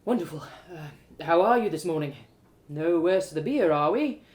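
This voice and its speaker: Woman putting on a hearty, lively male shoemaker. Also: sits low, moderate pace, roomy sound. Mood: welcoming. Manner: hearty, exclamatory. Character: busy, grumbling but welcoming shoemaker, a grown man. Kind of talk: storybook character voice.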